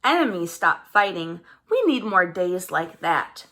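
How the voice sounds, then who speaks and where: A woman voicing a firm yet tender mother in a children's storybook, gently instructing her child. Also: fairly low pitch, sing-song, a little slow, exaggerated voice. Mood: tender, wistful.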